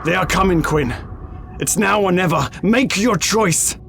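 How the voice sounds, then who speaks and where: A man's voice: high-pitched, measured pace, creaky, noisy background. A man playing a cynical, defiant veteran soldier in an audio drama, insistent and persuasive, pressing with pointed questions. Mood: bitter, insistent.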